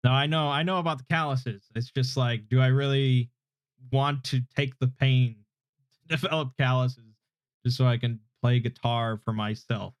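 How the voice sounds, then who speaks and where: Relaxed thirty-year-old man, dry close mic. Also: medium pitch, normal speed, clear, resonant tone, no echo. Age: 30s. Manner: relaxed, hesitant, self-deprecating, many fillers. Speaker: YouTube host answering viewer questions. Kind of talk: casual live Q&A chat. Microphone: desk microphone without his usual equalizer, slightly muffled.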